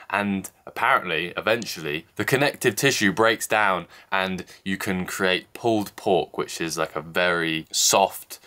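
A man's voice: fairly low pitch, pushed and bright. A young man vlogging from a snowy mountain cabin, chatting casually and joking about his own lack of skill while holding the camera at arm's length. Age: young adult. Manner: chatty, self-deprecating, explains as he goes. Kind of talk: casual vlog narration. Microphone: handheld camera at arm's length.

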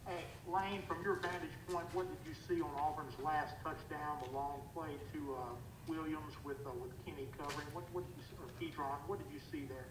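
Reporter, fairly high voice, distant from the mic, clear room noise. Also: male voice, steady tone, fairly quick, few pauses. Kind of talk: press conference question.

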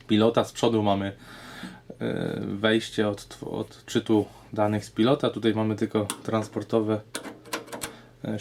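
A man talks through a casual vlog showcase in a flat, fairly low voice. He explains things in short, hesitant, unscripted phrases, with faint noise in the recording. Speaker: host of an audio gear repair channel.

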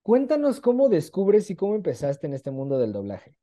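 A young man with a Mexican accent hosts a casual online interview. His tone is mellow and relaxed, his manner chatty and enthusiastic, picked up on a video call. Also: high voice, moderate pace. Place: small room at home, on a video call.

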